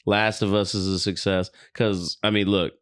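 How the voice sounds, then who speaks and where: A man's voice: deep voice, flat delivery, normal speed. A man co-hosting a podcast, speaking casually with slang and frequent profanity. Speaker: podcast co-host. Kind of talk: casual chat.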